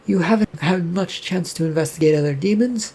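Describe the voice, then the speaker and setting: A man reads for a cautious female investigator in a narrated story. She questions her partner in a husky, creaky voice. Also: high voice, a little fast, darkish tone, voice pushed up. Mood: questioning.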